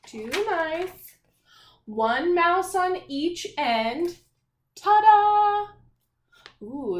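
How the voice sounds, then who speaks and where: A woman elementary teacher leading a read-aloud math lesson for young children, speaking in an encouraging, singsong, questioning way. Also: high voice. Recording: echoey room.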